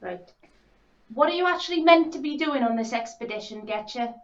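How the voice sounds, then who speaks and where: A scolding, sarcastic expedition researcher played by a woman speaks in a high voice. The sound is roomy and echoey, carried over a dull, muffled video link. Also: animated, fairly quick, lightly acted. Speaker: actor in a telepresence improv rehearsal.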